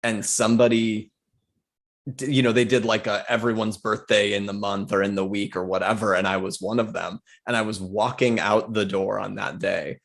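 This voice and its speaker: A man's voice: lowish voice, little pitch movement, moderate pace, gravelly. A man who works as a TV writer, a guest on an interview show, telling a self-deprecating story with laughs. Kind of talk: casual interview chat.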